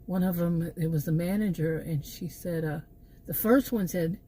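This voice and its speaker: A woman's voice: on the low side, normal speed, warm tone, a little hiss or hum. A middle-aged woman with a Texan accent, talking in a meandering opinion monologue full of asides and restarts. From Texas. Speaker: channel host.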